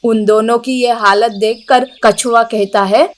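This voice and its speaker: A woman's voice: on the high side, steady tone, unhurried. A woman narrating a scripted moral story for children.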